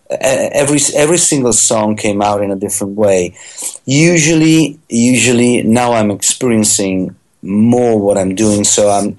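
Halting middle-aged raised man. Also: on the low side, darkish tone. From Italy. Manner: halting, many fillers and restarts. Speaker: musician guest. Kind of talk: interview answers.

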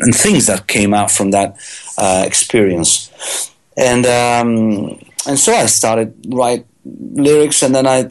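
Halting middle-aged raised man. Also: fairly low pitch. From Italy. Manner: halting, many fillers and restarts. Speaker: musician guest. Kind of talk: interview answers.

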